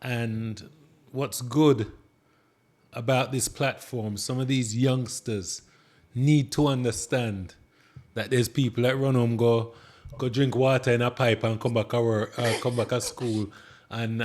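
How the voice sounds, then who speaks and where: Male host, mixed accent with a Jamaican lean, mid-range pitch, chatty, restarts a lot. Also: steady tone, slow pace, darkish tone. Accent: mixed, partly Jamaican. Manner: chatty, stammering restarts, switches into patois. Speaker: podcast host. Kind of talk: casual interview.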